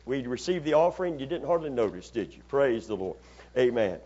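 A man's voice: medium pitch, big pitch swings, a little fast, dark tone, highs cut off. A man preaching a sermon as a pastor, calling on the congregation for amens and responses. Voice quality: raspy after Sunday preaching. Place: church sanctuary.

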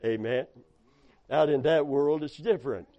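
A hoarse man preaching a sermon, telling folksy stories and calling out amen and hallelujah. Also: fairly high pitch, a little slow. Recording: dull lo-fi sound.

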